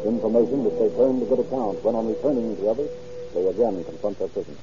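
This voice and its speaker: A man's voice: fairly low pitch, fast. A man narrating and announcing in formal, scripted 1930s radio style. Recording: muffled old radio recording.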